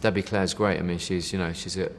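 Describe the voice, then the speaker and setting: A man's voice: low-pitched, fairly even intonation, quick pace, big-room sound, slight background noise. A man chatting casually in an interview, rambling with lots of fillers and the odd "mate". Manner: rambling, many fillers, says "you know" and "mate". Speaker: band member interviewed.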